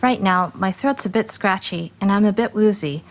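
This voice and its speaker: A woman's voice: mid-range voice, moderate pace. A woman, a city councilor, speaking tiredly and out of breath in a news interview clip. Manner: tired, matter-of-fact.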